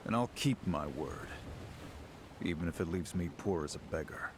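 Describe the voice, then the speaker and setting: A man's voice: low voice, animated, normal speed. An actor playing a stoic, honourable lone swordsman in a video game, terse and reserved. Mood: guarded. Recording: loud ambient noise behind.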